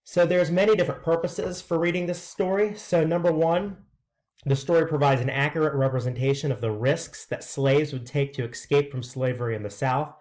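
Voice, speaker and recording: A man's voice: on the high side, steady tone, fairly quick, a little mellow. A man reading aloud in a scripted narration style, presenting a written story to listeners. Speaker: reader presenting a read-aloud.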